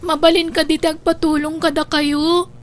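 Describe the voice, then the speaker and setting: A very high, girlish voice from a woman actor plays a poor young elder sister in an Ilocano audio drama. She is earnest, respectful and pleading, with an Ilocano accent. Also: flat delivery, moderate pace, lightly acted.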